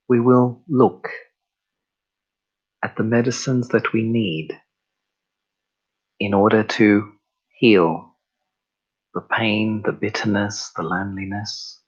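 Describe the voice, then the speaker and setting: A man narrating a scripted guided meditation in a measured, solemn way, one short phrase at a time, on a dull recording. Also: lowish voice, slightly rough.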